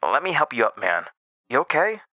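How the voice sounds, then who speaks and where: A man's voice: mid-range voice, big pitch swings, moderate pace, sharp tone, no echo, pitched above the performer's own voice. An actor voicing a good-natured, cocky and determined teenage boy at camp in a horror audio drama. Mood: puzzled, reassuring.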